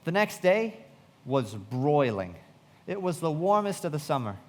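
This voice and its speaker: A man's voice: a little high, very animated, measured pace. An actor playing a reflective, observant young man narrating his memories with literary care in a live radio play. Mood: reflective, vivid. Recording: reverberant hall with faint noise.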